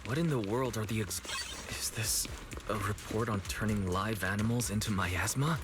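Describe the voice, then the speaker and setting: A man's voice: on the low side, normal speed, background noise, natural voice. A man voicing an earnest, level-headed young investigator in an anime video game, speaking thoughtfully. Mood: puzzled, concerned. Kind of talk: video game dialogue acting. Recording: game music behind voice. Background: game music.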